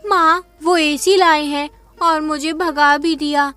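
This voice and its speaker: A man's voice: extremely high pitch, fairly even intonation, slow, pushed and bright, no room sound, soft background music, pitched above the performer's own voice, full character voice. A man doing an eager little village girl. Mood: curious. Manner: curious, pleading.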